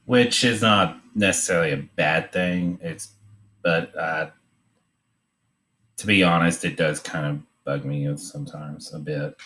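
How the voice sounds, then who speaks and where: A man giving an informal drawing demonstration, talking in a hesitant, halting way as he thinks aloud. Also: extremely low pitch, bright, forceful tone. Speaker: art demonstrator.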